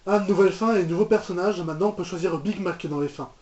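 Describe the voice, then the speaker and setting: A man's voice: sits high, fast, a little mellow. A man giving casual, off-the-cuff reaction commentary, hesitant, repeating words and trailing off. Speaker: reaction video host. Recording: echoey room, muffled lo-fi microphone.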